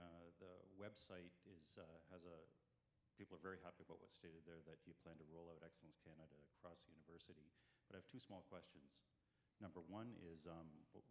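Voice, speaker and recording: Deep-voiced male audience member, polite and halting, faint background rumble. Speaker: audience questioner, staff association president. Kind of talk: asking a question at a town hall.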